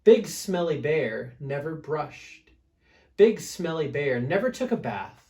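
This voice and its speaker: A man's voice: on the high side, very animated, a little mellow. A man reading a picture book aloud to children as a storyteller, with dramatic emphasis. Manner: dramatic emphasis, pauses to prompt listeners.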